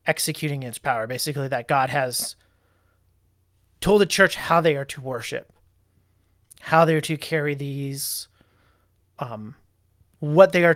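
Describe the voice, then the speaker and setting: Slow, deliberate man explaining doctrine. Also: fairly high pitch, a bit bright, no echo, studio quality. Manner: deliberate, halting, with fillers and self-corrections. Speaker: co-host discussing a church confession. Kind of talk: Bible study discussion show.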